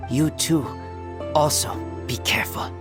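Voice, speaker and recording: A man voicing an earnest, wolf-raised teenage boy in a fantasy video game, speaking in halting, clipped, broken phrases. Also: on the high side, steady tone, over music, close to an everyday voice. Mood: wary, earnest.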